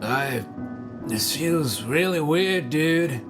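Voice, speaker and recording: Man acting a slow, spaced-out soldier over music. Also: fairly high pitch, animated. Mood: dazed. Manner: drawling, rambling, slangy. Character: dazed, spaced-out male stoner soldier. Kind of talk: sci-fi audio drama acting.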